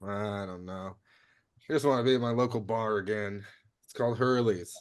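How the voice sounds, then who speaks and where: A man's voice: on the low side, normal speed, clean tone, natural voice. A man playing an earnest mission planner on a heist crew in a comedy table read. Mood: wistful.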